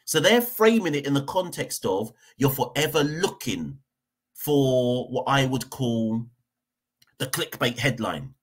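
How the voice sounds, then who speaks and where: A man's voice: mid-range voice, very animated, unhurried, breathy edge. A man from Jamaica, hosting a cricket podcast and giving a heated opinion rant, hammering points home by repeating them. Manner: emphatic, repeats points for stress.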